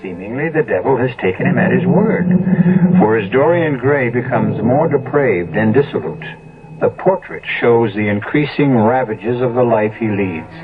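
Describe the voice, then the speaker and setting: A man narrating a 1970s radio drama as host, ominous, formal and measured. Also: mid-range voice, animated, a crowd in the background. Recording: thin, phone-like old radio recording. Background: music.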